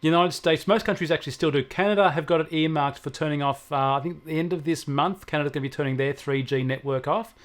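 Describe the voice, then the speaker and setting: Higher-voiced Australian man explaining technology. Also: fast, hardly any pauses. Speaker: technology commentator on a regular show. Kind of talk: conversational explaining of technology news.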